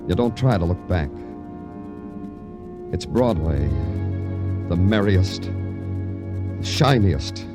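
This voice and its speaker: World-weary noir cop, low voice, measured and wry, vintage radio crime show, scored. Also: male voice. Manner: measured, poetic, understated. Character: world-weary, wry, brooding Broadway police detective. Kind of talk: 1950s radio noir acting and narration.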